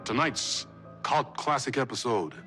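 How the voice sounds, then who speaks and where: An actor playing an ominous, theatrical host of a mystery anthology show, narrating in a portentous, measured manner. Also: male voice, medium pitch, lively intonation, over music, natural voice. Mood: ominous, intrigued. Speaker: narrator introducing an episode.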